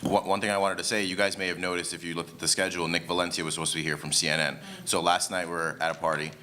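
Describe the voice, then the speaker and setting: A man moderating a panel tells a story to the audience. His voice is extremely deep yet bright and forceful, and he talks fast. Faint noise sits beneath him. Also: little pitch movement. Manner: anecdotal, storytelling. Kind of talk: panel discussion.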